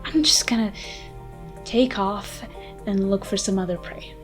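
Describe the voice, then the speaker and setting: A woman's voice: medium pitch, animated, a little slow, natural voice. Girl doing a haughty old vampire lady for asmr rp, wordy n dry, takes her time, music playing behind. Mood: apologetic. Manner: theatrical, wordy, dry mock-formal speech. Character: haughty, flustered ancient vampire lady, sardonic and secretly soft. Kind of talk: ASMR roleplay, dramatic monologue to the listener.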